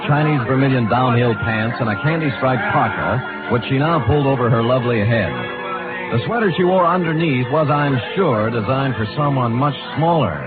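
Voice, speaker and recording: A man's voice: medium pitch, moderate pace, lightly acted. A hard-boiled private eye, played by a man delivers wry, tongue-in-cheek lines in a mid-range voice. It sounds like vintage radio noir, narrow and tinny. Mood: wry, wistful. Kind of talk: old-time radio noir narration and dialogue. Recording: tinny old radio, loud music.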